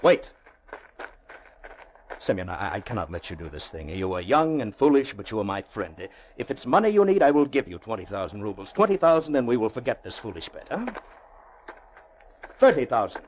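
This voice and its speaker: An actor playing a wealthy, anguished middle-aged banker in an old-time radio drama, rhetorical and theatrical. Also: male voice, fairly low pitch, big pitch swings, moderate pace, long pauses, poor audio. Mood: desperate pleading. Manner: theatrical, rhetorical, swinging from musing to urgent pleading.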